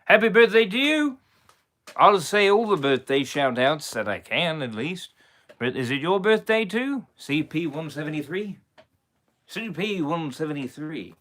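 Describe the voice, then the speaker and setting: A man's voice: a little high, big pitch swings, unhurried, fairly bright tone. Playful man in a pirate drawl. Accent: put-on pirate English. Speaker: impressionist streamer. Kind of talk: live stream shout-outs.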